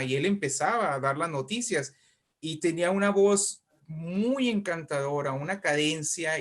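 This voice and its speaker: Higher-voiced northern Mexican man telling stories. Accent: northern Mexican Spanish. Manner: animated and discursive, with rhetorical check-questions. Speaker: guest writer and poet. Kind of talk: conversational interview storytelling.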